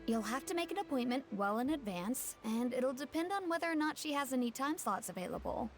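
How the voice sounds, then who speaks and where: Bright-mannered, high-voiced young townswoman. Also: brisk. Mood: helpful. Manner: courteous, explanatory, expressive. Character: polite, proud young townswoman explaining her nation, earnest. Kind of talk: video game voice acting. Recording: background music under voice.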